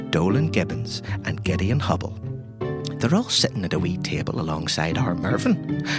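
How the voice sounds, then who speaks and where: An Irishman tells a tabletop story as game master with very animated pitch. His voice sits a little low and his style is wry and folksy, talking right to his listeners. Music plays behind him. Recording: music under the voice.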